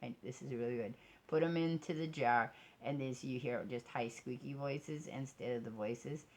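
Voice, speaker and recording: An American woman with a low-pitched voice talks casually to her vlog audience. She is chatty and self-deprecating, drifting between asides. The home recording carries faint background noise. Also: moderate pace. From United States. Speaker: vlogger and crafter. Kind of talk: casual rambling vlog to camera. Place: indoors at a craft table.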